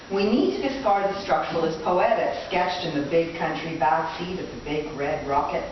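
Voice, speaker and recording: Female poetry performer, theatrical mock-academic reading, distant mic with clear background noise. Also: medium pitch, very echoey, dull audio. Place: live reading in a gallery.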